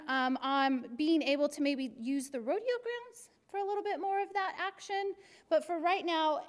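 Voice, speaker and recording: A middle-aged woman from Arizona, a city recreation superintendent with a very high-pitched voice, giving an energetic, joking presentation to a class. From Arizona, USA. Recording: long hall reverb.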